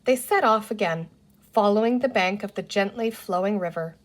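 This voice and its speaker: A woman narrating a children's adventure story as an expressive read-aloud storyteller. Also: mid-range voice, normal speed.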